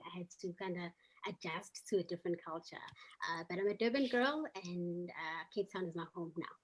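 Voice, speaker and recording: A woman with a South African accent, who grew up in KwaZulu-Natal, introduces herself as a guest in a measured way, pausing on ums. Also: mid-range voice, fairly bright tone, poor audio. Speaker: panel guest.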